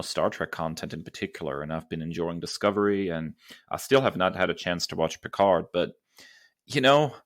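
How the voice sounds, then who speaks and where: A man's voice: sits low, a bit bright, dry recording, studio quality. A man co-hosting a podcast, talking casually and emphatically as he gives his opinions. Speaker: podcast co-host.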